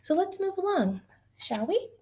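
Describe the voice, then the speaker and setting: A woman's voice: very high voice, very animated, normal speed, dark tone, slight background noise. A woman teaching young children in an encouraging, sing-song way, asking them questions as she counts, chants and tells simple stories.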